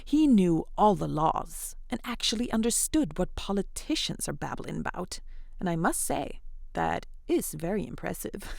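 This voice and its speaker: A woman's voice: lowish voice, normal speed, gravelly, no echo, studio quality. A woman telling an interactive fairy tale to children in a playful, encouraging, chatty way. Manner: encouraging, animated, chatty asides to young listeners. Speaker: storyteller, host of interactive children's fairy tales.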